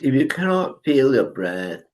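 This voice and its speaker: A man leading a guided breathing meditation, giving calm instructions with long pauses. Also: mid-range voice. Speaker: meditation teacher.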